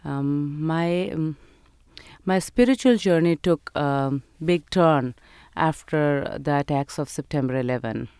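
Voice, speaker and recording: A woman answers an interviewer in an earnest, measured way, pausing on small fillers. Her voice is smooth and clear, recorded close and dry. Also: sits low, a little mellow, dry recording. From Pakistan. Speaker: guest.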